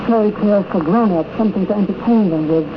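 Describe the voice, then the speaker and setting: A high-pitched male radio interviewer on a dull, noisy 1950s outdoor recording. He puts his questions formally and deliberately, as an on-location convention reporter would. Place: outdoors at a farm in the evening.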